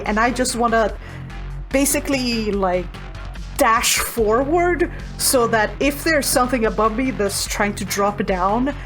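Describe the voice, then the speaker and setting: A woman's voice: on the high side, fairly bright tone. A woman playing a tabletop roleplaying game, casually thinking aloud about her character's moves and swearing freely.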